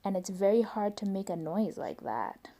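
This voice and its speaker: A woman reading a picture book aloud for young children, expressive and playful with the sounds of the words. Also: medium pitch, moderate pace. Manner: expressive, with playful imitations of noises.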